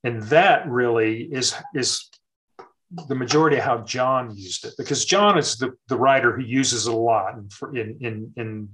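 Man, middle-aged, mid-pitched voice, thoughtful, halting with fillers, casual faith talk. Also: a bit bright, rough recording. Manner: thoughtful, many fillers and restarts.

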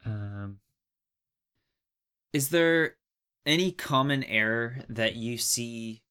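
A young man interviewing someone casually, feeling his way through questions in a tentative, halting manner. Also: medium pitch, sharp tone, clear, resonant tone. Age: young adult.

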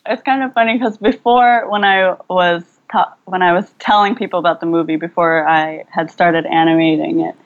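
A woman's voice: lowish voice, steady tone, moderate pace, few pauses, lo-fi sound. A young woman filmmaker, speaking thoughtfully over a video call and searching for her words with fillers and restarts. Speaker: filmmaker guest. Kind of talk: relaxed video-call interview.

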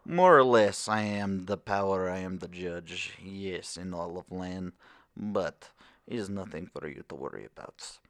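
A man in an improv comedy scene playing a menacing Russian mob boss who runs a movie studio, speaking broken English in a put-on Russian accent, slow and threatening. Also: low-pitched, a bit bright, dry recording.

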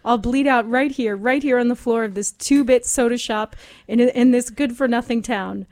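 A woman's voice: on the high side, fairly even intonation, moderate pace, darkish tone, no room sound, close to an everyday voice. Lady doing a hard-boiled noir detective telling a murder story, melodramatic, dry close sound. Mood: dramatic.

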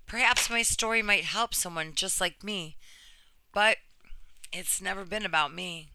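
Female reader for a personal true story. Crisp, smooth tone, slightly low-voiced for a woman, earnest delivery, very dry recording. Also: measured pace, sharp tone, no echo, rough recording. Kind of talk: reading a personal written story aloud.

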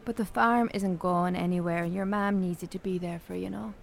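A woman's voice: fairly low pitch, steady tone, fairly quick, gravelly, a little hiss or hum. An actress playing a frank, concerned small-town Irish woman, blunt and earnest, in naturalistic radio drama.

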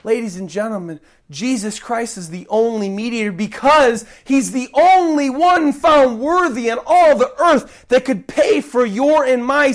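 A woman preaching an emphatic sermon while recovering from a cold and fighting to keep her voice. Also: sits high. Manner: emphatic, repeats key points, swings between loud stresses and soft drops.

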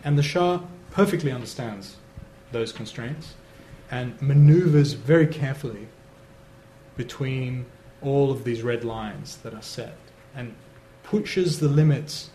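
A man giving an analytical academic talk, measured and steady, with fillers. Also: medium pitch. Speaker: lecturer on Iran. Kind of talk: academic lecture answer. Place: lecture hall. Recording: roomy hall, faint noise.

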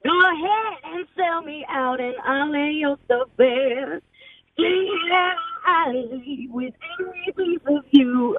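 High female phone-in singer, muffled line. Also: slow pace, pauses often, dry recording, faint music behind. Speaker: phone-in singing contestant. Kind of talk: unaccompanied singing. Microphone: telephone. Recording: dull, band-limited phone call.

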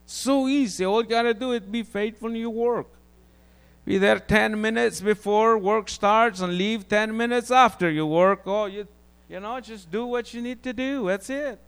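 Gravelly Guatemalan-accented preacher. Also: male voice, high voice, fairly bright tone. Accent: Guatemalan Spanish. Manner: halting, repeats words, restarts often. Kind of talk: informal testimony sermon.

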